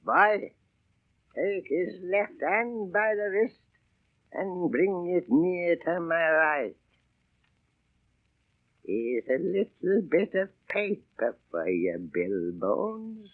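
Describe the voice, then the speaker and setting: An actor playing a sinister old blind beggar pirate in a 1930s radio drama, sliding between theatrical wheedling and menace. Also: male voice, sits high, big pitch swings, phone-quality sound, heavily acted. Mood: cold, commanding. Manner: theatrical, drawn-out wheedling turning to hissed threats. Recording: thin, band-limited 1930s radio recording.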